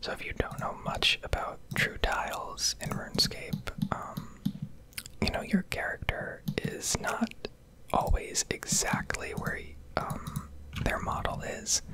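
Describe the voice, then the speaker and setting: A woman doing ASMR commentary over gameplay, rambling and explaining in a whisper at a close microphone. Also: slow pace. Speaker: gaming video creator.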